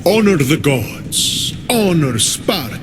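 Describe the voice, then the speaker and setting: An actor playing a sarcastic, taunting god of the underworld in a video game cutscene, with a theatrical drawl. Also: male voice, on the high side, sing-song, slow pace, background noise, full character voice. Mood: mocking, bored.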